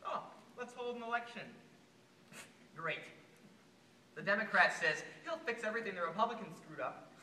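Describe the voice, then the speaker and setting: An actor playing an unhinged, bitter working-class American would-be assassin in a theatrical stage monologue, veering erratically between shouted rage and hushed pleading. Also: male voice, high voice, exaggerated voice. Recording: distant, echoey amateur stage recording, faint noise.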